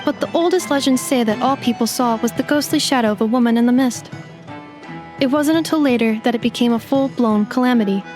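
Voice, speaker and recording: A woman's voice: on the high side, fairly quick, darkish tone, natural voice. A woman voicing a precise, literal female robot. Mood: matter-of-fact. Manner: formal, exact, matter-of-fact phrasing. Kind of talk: game voice acting. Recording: game dialogue over background music.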